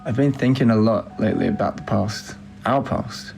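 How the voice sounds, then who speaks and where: A man's voice: lowish voice, moderate pace, creaky, darkish tone, faint music behind. A man playing a heartbroken, nostalgic young man who reads out unsent love letters in a film voice-over, confiding and wistful.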